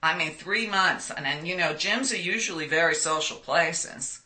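A woman in her sixties with a loud voice, telling stories animatedly in an interview and laughing often. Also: low voice, moderate pace. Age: 60s. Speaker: guest. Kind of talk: conversational interview.

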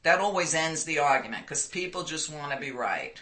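Loud, bright-voiced woman in her sixties. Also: sits low, fairly quick. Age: 60s. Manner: loud, animated storyteller who laughs often. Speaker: guest. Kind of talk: conversational interview.

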